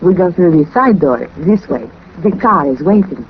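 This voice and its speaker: A Mexican femme fatale speaks accented English with swooping, animated pitch. She is polite yet menacing and insistent, heard through a thin, tinny old 1940s radio recording. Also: normal speed, slight background noise. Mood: coaxing. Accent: Mexican Spanish. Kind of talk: 1940s radio drama acting.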